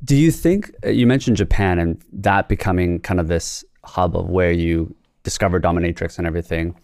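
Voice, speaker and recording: A man's voice: deep voice, a little fast, gravelly, a little mellow, no room sound, clean studio sound. A man from Korea hosting a podcast, asking hesitant, searching questions and giving short replies. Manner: brief backchannels, hesitant questions. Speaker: podcast host. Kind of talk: conversational interview.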